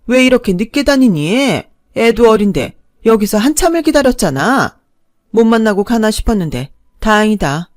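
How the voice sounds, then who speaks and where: A woman voicing a shameless, nagging elderly stepmother-in-law who scolds loudly. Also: on the high side, very animated, normal speed. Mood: scolding. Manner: nagging, wailing, self-pitying.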